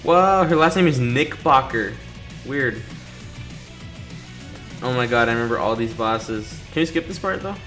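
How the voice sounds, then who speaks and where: A man hosts a casual video game playthrough in a medium-pitched voice. He jokes back at the characters and reads captions aloud. Faint game music plays behind him. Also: lively intonation, moderate pace, pauses often, a bit bright. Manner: joking, reacting to characters, reading captions aloud. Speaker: gaming video host. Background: video game music and sound.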